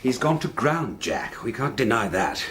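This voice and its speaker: An actor playing a cautious, evasive British intelligence official in a radio drama, measured, formal and placating. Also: male voice, mid-range voice, sing-song, fairly bright tone, slight background noise.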